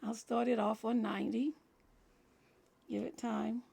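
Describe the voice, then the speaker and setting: A woman hosting a crafting tutorial in a relaxed, chatty way, explaining each step as she works, her voice scratchy from a cold. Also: fairly high pitch, measured pace, vocal fry. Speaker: crafting channel host. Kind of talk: casual crafting tutorial talk.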